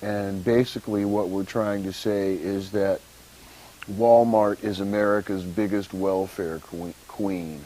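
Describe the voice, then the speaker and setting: Low-voiced guy, really slow, lots of uhs, laid-back activist talk. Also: steady tone, mellow, poor audio. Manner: slow, halting, many uhs and ums. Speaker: activist talk show guest or co-host. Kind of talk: casual activist talk show.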